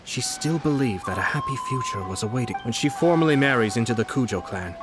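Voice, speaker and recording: An actor playing a composed, shrewd young noble commissioner in a video game, speaking in a measured, formal way. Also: male voice, medium pitch, lightly acted. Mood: calm, analytical. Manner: measured, formal, calmly calculating. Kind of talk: English video game voice acting. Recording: music under the dialogue.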